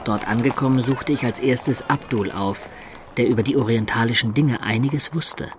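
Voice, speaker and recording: A man's voice: medium pitch, moderate pace, background noise, close to an everyday voice. An actor playing a young male adventurer who recounts his tale in a matter-of-fact way in a radio play. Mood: narrating. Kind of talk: German radio play narration and dialogue.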